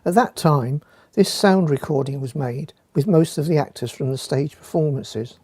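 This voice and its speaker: Male presenter for scripted introduction, mellow tone, slightly raised pitch, close dry sound. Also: moderate pace, husky, dry recording. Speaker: presenter introducing a recorded play. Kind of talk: scripted narration.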